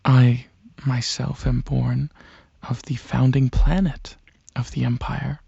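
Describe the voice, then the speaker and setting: A man voicing a regal, courteous dragon alien prince in a soft-spoken ASMR roleplay, with a husky, breathy voice and a hesitant, musing, explaining manner. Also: medium pitch, level tone, slow, no echo, highs cut off, exaggerated voice. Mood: soft, proud.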